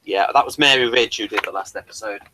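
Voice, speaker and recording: A man's voice: on the low side, fast, noisy background. A man hosting a review show in casual, unscripted chat, rambling and stop-start as he thinks aloud.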